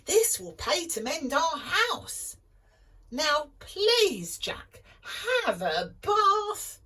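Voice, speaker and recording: A woman voicing an exasperated mother scolding her smelly son in a high voice. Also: big pitch swings, extremely slow, bright, forceful tone, far from the mic, full character voice.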